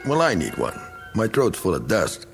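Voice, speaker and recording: An actor playing a bitter, self-pitying middle-aged American war veteran in a radio drama, talking in a folksy, rambling, needling way. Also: male voice, sits low, huge pitch swings, moderate pace, creaky, faint music behind, heavily acted. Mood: probing, restless.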